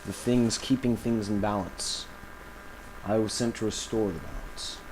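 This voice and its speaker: A man playing an embattled villain recording a grave confessional audio log, speaking haltingly and solemnly with dramatic pauses. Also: lowish voice, background noise, natural voice. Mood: weary, reflective.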